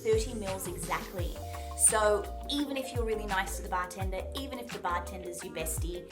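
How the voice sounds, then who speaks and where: A woman's voice: medium pitch, fairly quick, music in the background. A woman with an Australian accent hosting a video, chatting informally through tips in an upbeat, emphatic way. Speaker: video host, accent tutor. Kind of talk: chatty scripted travel tips to camera.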